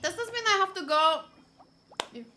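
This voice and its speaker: A woman's voice: very high-pitched, lively intonation, normal speed, pushed and bright, a little hiss or hum. A woman chatting casually on a game livestream, thinking aloud as she plays. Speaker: game streamer. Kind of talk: casual livestream chat while playing a video game.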